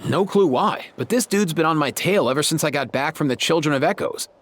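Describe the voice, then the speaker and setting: A man voicing an easygoing young surfer-type guy in a video game, slangy and relaxed. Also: fairly high pitch, brisk. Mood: laid-back.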